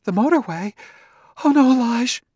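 Man putting on a high, distraught, pleading woman. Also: moderate pace, dry recording, lightly acted. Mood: pleading, fretful. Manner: high, breathless, emotional pleading. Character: distraught, frightened, guilt-ridden wife, pleading and tearful. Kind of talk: audiobook character acting.

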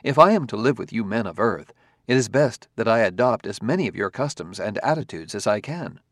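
Guy as a polite robot detective in an audiobook, measured, formal, dry recording. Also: lowish voice, animated, dry recording. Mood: calm courtesy. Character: calm, courteous humanlike male robot detective. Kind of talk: audiobook character voice.

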